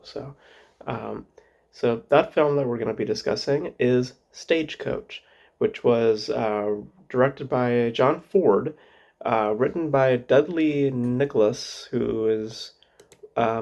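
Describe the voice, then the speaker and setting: Man, hoarse from a cold, gravelly, rambling film-review host, muffled home recording. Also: medium pitch, darkish tone. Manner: rambling, many fillers and restarts. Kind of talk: casual solo film review.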